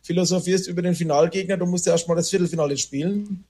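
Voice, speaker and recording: A man's voice: high-pitched, fairly even intonation, quick pace, warm tone. A man from a band answering interview questions casually, rambling with many fillers. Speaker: band member.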